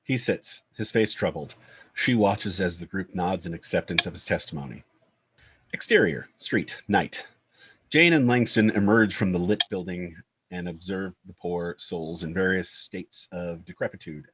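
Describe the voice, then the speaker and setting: A man's voice: sits low, moderate pace, noisy background. A man reading screenplay stage directions as a scripted narrator.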